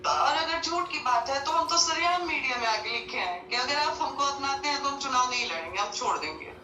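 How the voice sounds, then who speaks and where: A woman's voice: high-pitched, lively intonation, fairly quick, bright, forceful tone. A woman arguing her case on a recorded call, insistent and aggrieved, pressing rhetorical questions. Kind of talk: phone call, pleading argument. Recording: echoey, distant phone-call recording with faint noise.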